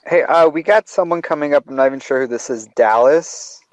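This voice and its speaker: A man's voice: a little high, a little fast, no room sound, rough recording. A man hosting a casual call-in show, talking to guests and callers. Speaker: talk show host.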